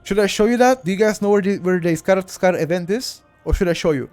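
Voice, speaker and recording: A man's voice: high-pitched, quick pace, darkish tone, no room sound, faint music behind. A man with a Bulgarian accent, talking through a game walkthrough on a live stream and speaking straight to his chat, often repeating himself. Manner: chatty, addresses chat directly, repeats himself.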